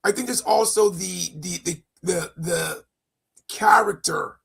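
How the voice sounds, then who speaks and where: Co-host, raspy and gravelly, plays moderator, keeps asking questions. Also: mid-range voice, slow pace, fairly bright tone. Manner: probing, asks questions, steers to the topic. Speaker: podcast co-host. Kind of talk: casual faith discussion.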